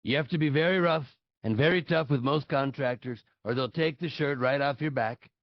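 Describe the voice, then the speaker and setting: A man's voice: mid-range voice, moderate pace, creaky, no echo, highs cut off, close to an everyday voice. A man playing a pompous, defensive older politician in a comedic table read, blustering and self-justifying.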